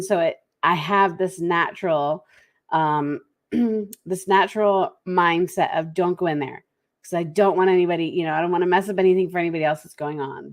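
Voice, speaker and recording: A woman with a head cold hosting a solo talk show and giving practical tips in a relaxed, conversational way. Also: lowish voice. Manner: conversational, lively, speeds up and slows down. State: head cold, slightly congested. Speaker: podcast host.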